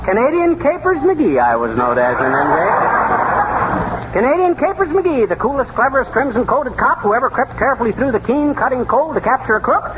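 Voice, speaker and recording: A man's voice: very high voice, big pitch swings, normal speed. A man playing a boastful, bungling, folksy husband in a 1930s radio comedy, talking in blustery slang. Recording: noisy, dull 1930s radio broadcast.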